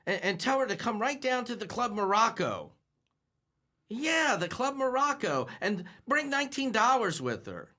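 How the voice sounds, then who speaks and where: A nervous, bumbling young man stammers and restarts as he tries to impress, his bright voice bouncing widely in pitch. It is classic old-time radio comedy acting. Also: very animated, normal speed, full character voice. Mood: flustered. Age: young adult. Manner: stammering, hesitant.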